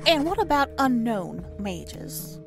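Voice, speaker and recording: A sly, pushy interviewer in an audio drama, high-pitched and lively. She needles with insinuating questions. Music plays behind her. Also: slow pace, gravelly, exaggerated voice.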